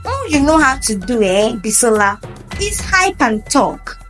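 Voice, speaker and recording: A woman's voice: on the high side, sing-song, unhurried, over music. A woman storyteller voicing a proud, boastful Ghanaian male chef who taunts his rival in a folktale. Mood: boastful, taunting.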